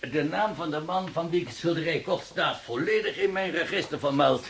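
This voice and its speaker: An actor playing an obliging, fussily precise antique dealer in a radio play, formal and eager to seem honest. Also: male voice, fairly high pitch, brisk, gravelly, a bit bright. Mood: defensive. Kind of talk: Dutch radio play acting. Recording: very noisy, clicking audio.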